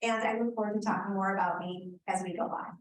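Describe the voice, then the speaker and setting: A woman's voice: medium pitch, steady tone, fast. A woman candidate speaking at a community forum in an earnest, rambling way, correcting herself as she goes. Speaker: election candidate. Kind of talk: public forum speech. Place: community meeting room. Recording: echoey hall, distant mic, faint hum.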